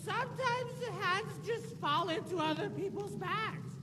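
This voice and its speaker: A woman voicing a flustered video game companion who stammers through grand, rambling speeches. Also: extremely high pitch. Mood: earnest, rambling. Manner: stammering, halting, grandly wordy. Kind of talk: video game character dialogue. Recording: distant, echoing game audio under loud music.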